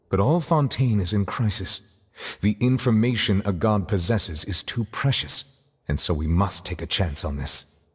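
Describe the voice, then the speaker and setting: An actor playing a grave, reserved judge in a video game, speaking in a formal, measured manner. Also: male voice, low voice, a little hiss or hum. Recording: long reverb, music behind.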